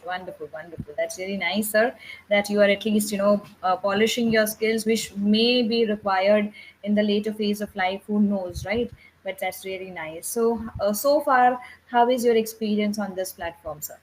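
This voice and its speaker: A woman's voice: mid-range voice, steady tone, normal speed, a little hiss or hum, low-quality recording. A woman working as an English tutor, asking questions and encouraging the learner with frequent affirmations. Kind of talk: interviewing a learner.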